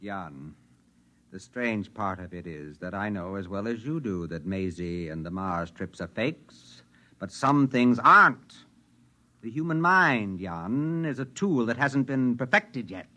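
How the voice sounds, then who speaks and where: A man's voice: fairly low pitch, sing-song, measured pace, bright, forceful tone, full character voice. An actor in a 1950s radio drama playing an intense, grandiose dreamer who believes he commands minds, speaking in an earnest, declamatory way. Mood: earnest conviction. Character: intense megalomaniac male dreamer, grandiose would-be mind controller. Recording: 1950s radio broadcast recording.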